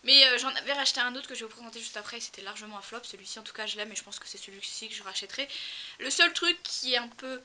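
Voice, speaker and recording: A woman's voice: on the high side, rapid-fire, pushed and bright. A young woman with a cold and a slightly nasal, congested voice chats casually through a product review, repeating words for emphasis. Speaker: equestrian vlogger. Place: outdoors in a stable tack room, cold.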